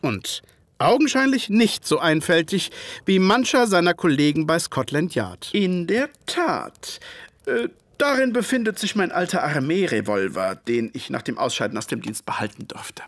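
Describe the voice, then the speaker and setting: A man's voice: fairly high pitch, very animated, normal speed, no room sound. An actor playing a polite male ex-army doctor who tells his story in an audio drama. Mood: wry, reflective. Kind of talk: first-person scripted dramatic narration.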